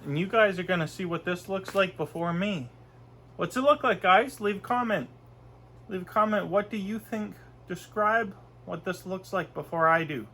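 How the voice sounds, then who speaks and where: A man's voice: high-pitched, normal speed, bright, forceful tone, slight background noise. Congested young man rambling through a review. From Canada. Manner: rambling, chatty, with frequent fillers and restarts. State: stuffy nose from a cold. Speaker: food reviewer. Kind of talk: casual food review vlog. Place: small bedroom, indoors.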